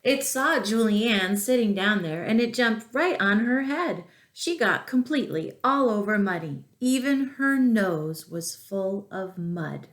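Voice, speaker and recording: Slow-paced woman storyteller in an echoey room. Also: medium pitch. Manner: expressive, deliberate, with asides to listeners. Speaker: children's storyteller at a school. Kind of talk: storybook read-aloud to young children.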